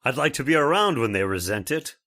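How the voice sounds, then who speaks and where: A man's voice: medium pitch, very animated, a little fast, a bit bright. A man playing a brash, wealthy middle-aged American father, blunt and bossy, in an old-time radio drama style. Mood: brash, genial.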